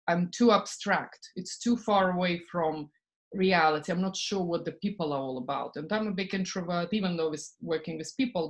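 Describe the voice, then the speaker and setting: A woman from Estonia, a podcast guest talking casually and acting out her inner thoughts in lively asides. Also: on the low side. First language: Russian. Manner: animated, acts out her own inner voice in quoted asides, frequent "like" and soft laughs. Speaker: podcast guest, spiritual business coach. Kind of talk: casual interview talk.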